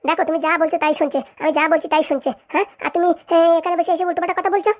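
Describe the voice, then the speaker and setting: Woman as high, chattering, cheeky village woman. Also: fairly even intonation, very fast. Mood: gushing, teasing. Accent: rural Bengali. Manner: gossipy, teasing. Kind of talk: comedy cartoon dialogue.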